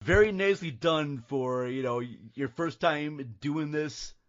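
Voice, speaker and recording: A man's voice: a little high, unhurried, highs cut off. A man hosting and narrating an audio drama from a script in a dramatic style. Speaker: podcast host and narrator.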